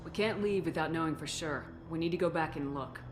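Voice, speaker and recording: Low, resolute female commander played by an actress. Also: fairly quick, over music, natural voice. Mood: firm, questioning. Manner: firm, questioning, no-nonsense. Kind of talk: video game cutscene acting.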